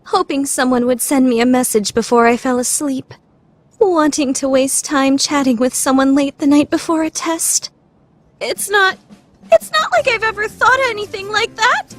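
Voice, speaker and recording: A woman playing a proud, scheming, secretly lovestruck high school lady in a formal, theatrical anime radio play style. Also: moderate pace, no room sound, lightly acted. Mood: flustered, proud. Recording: background music under the voice.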